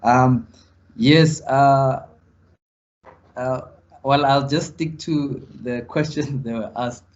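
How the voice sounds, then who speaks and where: A man on a legal panel, answering in a halting way full of ums and restarts over a video call. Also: medium pitch, fairly even intonation. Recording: muffled video call audio.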